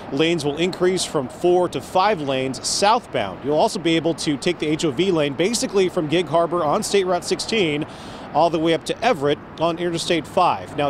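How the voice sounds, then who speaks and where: An American man giving a live TV news report as a field reporter outdoors. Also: a little high. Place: outdoors near a freeway. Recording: clear outdoor traffic noise.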